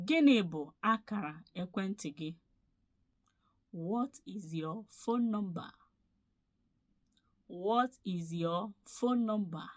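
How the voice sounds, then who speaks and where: Slow, low-voiced woman teaching. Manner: measured, repeats each phrase slowly for learners. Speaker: language teacher. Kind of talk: slow teaching with repeated phrases.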